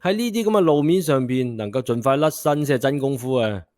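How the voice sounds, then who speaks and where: An actor playing a smug, baby-faced young driver in a Cantonese audio drama, with a southern Chinese Cantonese accent. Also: male voice, mid-range voice, animated, fairly quick, a little mellow, no room sound, clean studio sound, faint music behind, close to an everyday voice. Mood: smug boasting. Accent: Hong Kong or Guangdong Cantonese.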